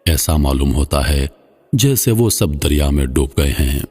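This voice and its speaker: Male narrator as young shipwrecked hunter, very low voice, polite earnest plea, storybook tale, long reverb. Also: lively intonation, unhurried, a little mellow, husky, heavily acted. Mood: pleading.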